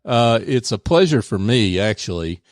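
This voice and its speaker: A man hosting a radio business show, chatting in a relaxed way with many fillers from a studio. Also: fairly low pitch, moderate pace, no echo. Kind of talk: casual radio interview.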